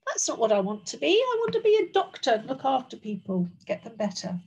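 A woman's voice: fairly high pitch, very animated, brisk, a little mellow, breathy edge, lo-fi sound, voice pushed up, exaggerated voice. A woman voicing an earnest, plucky young princess who works as a doctor, in a children's storybook. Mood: earnest, pleading.

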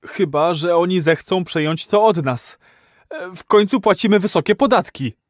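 A man acts out a mature, controlling husband in a futuristic radio drama. He sounds dour and resigned, quick to scold, and is recorded close and dry. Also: high voice, dry recording, close to an everyday voice. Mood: stern, cold. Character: weary, sullen, controlling middle-aged husband. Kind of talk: dystopian radio play acting.

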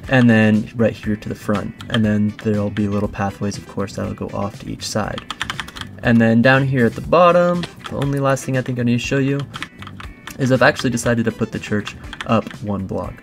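A man's voice: fairly low pitch, normal speed, vocal fry, music in the background. A man hosting a gaming let's play, explaining his build in an informal, chatty way as he thinks aloud. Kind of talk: casual gameplay commentary, explaining a build.

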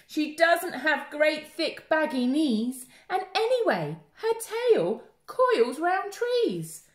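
Lady doing a worried little storybook monkey, squeaky and slow, bit echoey. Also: sing-song, full character voice. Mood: upset. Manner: slow, emphatic, high and insistent. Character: little lost baby monkey, anxious and pleading. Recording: roomy echo.

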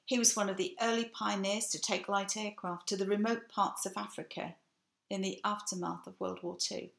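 Older English woman, sixties, reading a home sermon to webcam; earnest, gravelly, roomy sound. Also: mid-range voice, fairly even intonation, fairly bright tone. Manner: measured, earnest delivery. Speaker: preacher. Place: home room with bookshelf, indoors. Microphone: webcam, seated facing camera. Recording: echoey room.

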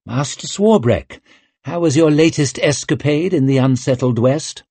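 A man's voice: on the high side, dark tone, no room sound, pitched above the performer's own voice. A man putting on a commanding noblewoman. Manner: crisp, commanding, quick to argue. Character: cool, ruthless noblewoman of business, young adult.

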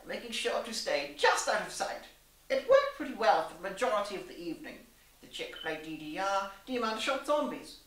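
A woman's voice: medium pitch, fairly bright tone. A young woman reading a story aloud in a theatrical, arch, mock-genteel manner. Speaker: story reader. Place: small room indoors. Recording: echoey room, distant mic.

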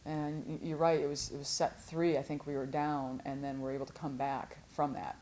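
A woman in her forties, a head volleyball coach, answering postmatch questions in an earnest, halting way with many restarts. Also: low-pitched, little pitch movement, mellow, slight background noise. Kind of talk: postmatch interview. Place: studio before a sponsor backdrop.

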